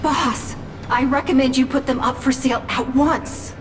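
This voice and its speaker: An actress playing an efficient female business assistant who reports to her boss in a crisp, brisk way for a game cutscene. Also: sits high, fairly even intonation, noisy background, close to an everyday voice. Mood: briskly reporting. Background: game music.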